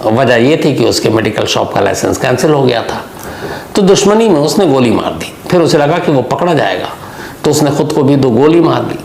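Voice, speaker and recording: Male true-crime host, gravelly and explanatory, telling it unscripted in a reverberant room. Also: mid-range voice, sing-song, moderate pace, a little mellow. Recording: echoey room, faint background noise.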